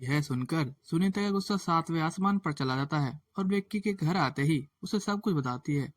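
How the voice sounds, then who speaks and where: Slightly high-voiced male storyteller. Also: moderate pace. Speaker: narrator. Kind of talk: scripted moral story narration. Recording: faint background music.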